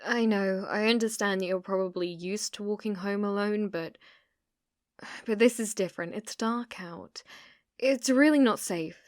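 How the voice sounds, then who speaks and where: A young British woman playing an obsessive, delusional shop cashier stalker in an intimate ASMR roleplay, halting and flustered, slipping into menacing whispers. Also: medium pitch, a little mellow, no echo, clean studio sound, close to an everyday voice. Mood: flustered, coaxing.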